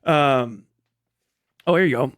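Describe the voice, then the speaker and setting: A man's voice: medium pitch, takes it slowly, a bit bright, no room sound, clean studio sound. A middle-aged man telling stories in a casual, rambling livestream style, with lots of swearing and slang. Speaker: streamer telling stories from military service.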